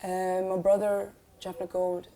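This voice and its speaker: Woman, young, talks real slow, soft mellow voice, lots of ums. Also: fairly low pitch, monotone. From Switzerland. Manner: unhurried and hesitant, with many "um"s. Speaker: musician guest. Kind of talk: casual conversation.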